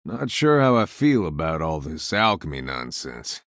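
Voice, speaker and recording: An actor playing a wry, laid-back gunslinger in a video game, with a drawling American Southern accent and folksy, cocky manner. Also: male voice, extremely low pitch, very animated, measured pace, a bit bright, no room sound, clean studio sound, exaggerated voice. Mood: wry, casual. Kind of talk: video game character voice lines.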